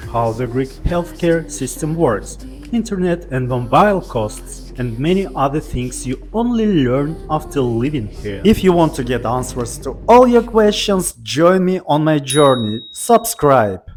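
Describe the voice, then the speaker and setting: A middle-aged man with a non-native English accent, narrating a walking vlog in an earnest, opinionated and measured way. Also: mid-range voice, darkish tone. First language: not English. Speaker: vlogger.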